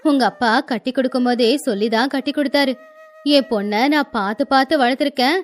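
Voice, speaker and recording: A woman's voice: high-pitched, fast, soft background music, pitched below the performer's own voice, close to an everyday voice. Woman voicing a fussing village mother-in-law. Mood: worried. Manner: fussing, protective. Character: anxious, caring middle-aged village mother-in-law.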